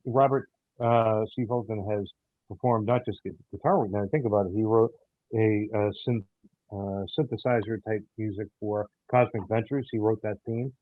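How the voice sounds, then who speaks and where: Over a narrow, phone-like connection, a lower-voiced man talks in a casual interview. He is halting and hesitant, breaking his phrases up with many ums. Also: fairly even intonation, no room sound. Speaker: audio theater producer and guest. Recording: muffled, phone-like narrow sound.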